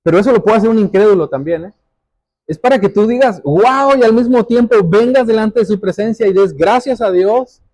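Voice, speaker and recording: A man's voice: high-pitched, fairly quick, a little mellow, no echo, low-quality recording. A man preaching a church sermon, reading scripture and exhorting, repeating phrases for emphasis.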